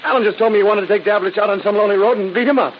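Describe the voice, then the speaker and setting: An actor playing an evasive, cornered crook under interrogation in a defensive, pleading 1930s radio style. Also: male voice, high-pitched, racing, lightly acted. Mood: pleading, anxious. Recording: muffled, noisy vintage radio recording.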